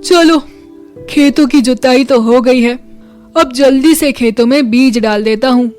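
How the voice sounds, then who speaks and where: A woman voicing a good-natured, hardworking village farmer husband in a children's story, with loud, emphatic delivery. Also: sits high, measured pace, soft background music. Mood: eager. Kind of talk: children's moral story dialogue.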